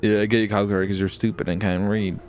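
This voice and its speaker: A man chatting casually as he plays a roleplay game, excitable, swearing freely and repeating his phrases. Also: fairly low pitch, quick pace. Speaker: video host and roleplay gamer. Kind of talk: casual chat while playing a crime roleplay game.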